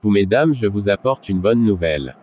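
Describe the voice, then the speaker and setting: Cartoon drama role: actor as fervent street evangelist, lowish voice, exhorting, dull lo-fi sound. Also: male voice, animated, normal speed, close to an everyday voice. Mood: polite. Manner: preaching, exhorting. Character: fervent male street evangelist, urgent, caring. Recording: dull lo-fi sound, background noise.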